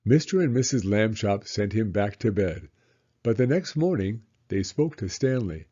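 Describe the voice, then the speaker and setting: A man reading a children's story aloud as an expressive narrator who acts out the dialogue. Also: fairly low pitch, moderate pace, breathy edge, dull audio.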